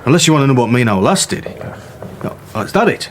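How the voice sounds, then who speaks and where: A man's voice: medium pitch, big pitch swings, fast, a little hiss or hum, close to an everyday voice. An actor playing a cocky, crude male suspect answering police questions defensively. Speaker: suspect under interview. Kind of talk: radio drama acting.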